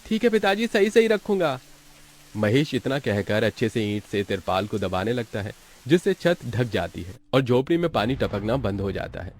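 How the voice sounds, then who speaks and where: A man's voice: on the low side, animated, normal speed, few pauses. A man reading scripted narration as the narrator of a story.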